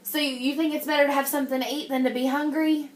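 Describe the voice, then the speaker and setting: A woman's voice: sits high, fairly even intonation, fast. A woman teacher reading a picture book to young children, encouraging them and often stopping to ask questions. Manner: encouraging, addresses the class as guys, frequent check-in questions. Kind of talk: interactive classroom read-aloud with questions and praise. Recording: echoey room.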